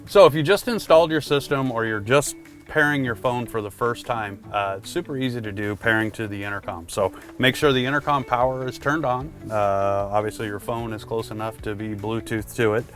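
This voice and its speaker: A man's voice: medium pitch, brisk, a bit bright, faint music behind. A man presenting product tips in a casual, conversational instructional style, talking directly to the viewer.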